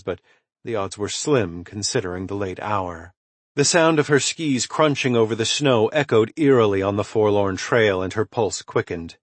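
A man reading a suspense thriller novel as scripted narration, in a dramatic, measured storytelling manner with emphatic swells and hushed drops. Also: lowish voice, no echo. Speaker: audiobook narrator.

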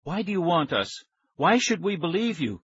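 A man acts out a feisty boy from a children's storybook. The pitch is pushed up, with some huskiness. He is demanding, defiant and full of mischief. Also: expressive, a little slow, a bit bright, slightly rough, no room sound, highs cut off, exaggerated voice. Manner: demanding, insistent.

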